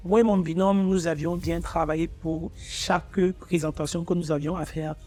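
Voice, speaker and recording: A young man in his twenties with a fairly high-pitched voice speaks informally to his viewers, as a student vlogger would. He is from Africa and a native speaker of English. Faint music plays behind him. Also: fairly even intonation, normal speed, a little mellow. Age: 20s. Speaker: architecture student presenting his own channel. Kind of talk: personal vlog, talking to viewers.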